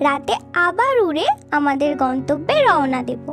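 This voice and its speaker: A woman's voice: high-pitched, big pitch swings, normal speed, a bit bright, faint music behind. A woman voicing a caring young fairy in mermaid guise for a cartoon. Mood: tired. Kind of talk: cartoon character acting.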